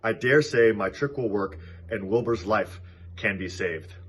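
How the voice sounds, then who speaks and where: A man's voice: on the low side, measured pace, a bit bright, slight background noise. A man narrating a children's storybook as a read-aloud.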